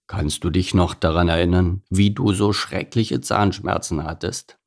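Male plough horse, acted by a man, storybook, creaky, grumbly, calm, patient. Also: unhurried. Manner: low grumbling, sighing, patient. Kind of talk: storybook character voice.

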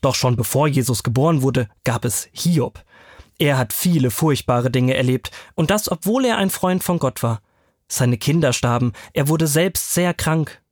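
A man as a guest storyteller, explaining Bible stories to children in simple, clear phrases. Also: a little high, expressive, normal speed, darkish tone, dry recording, studio quality.

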